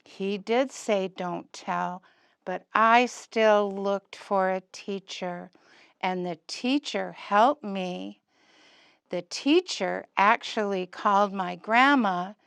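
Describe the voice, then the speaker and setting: An earnest young boy puppet voiced by a woman speaks slowly to small children in simple words. The puppet-show storytelling is childlike and plain, with a dry sound free of room echo. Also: medium pitch, vocal fry, a bit bright, clean tone. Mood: earnest, reassured.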